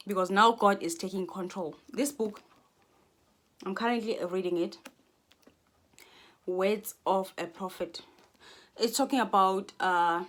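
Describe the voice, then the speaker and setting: A Xhosa-speaking South African woman in her twenties, talking to camera in a rambling, earnest monologue full of restarts. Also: mid-range voice. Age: 20s. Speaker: vlogger.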